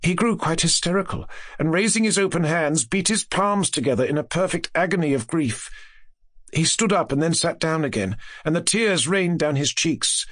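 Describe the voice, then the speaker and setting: A man reading classic gothic fiction as an audiobook narrator, in a measured, expressive, literary way. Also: on the high side, no room sound.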